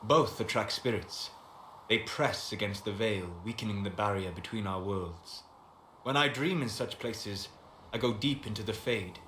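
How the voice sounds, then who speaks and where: A low, composed voice of a reserved elven scholar-mage in a fantasy game. He speaks formally and eloquently, with a wistful gravity. The sound is roomy, with faint noise behind it. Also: measured pace, a bit bright. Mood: solemn, intrigued. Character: calm scholarly male elf apostate mage, wistful and reserved. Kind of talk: video game dialogue acting. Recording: echoey room with background noise.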